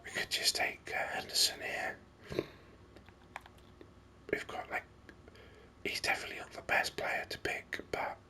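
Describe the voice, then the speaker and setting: A man whispering breathy, unhurried ASMR commentary over a video game, musing aloud close to the microphone. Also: bright, forceful tone.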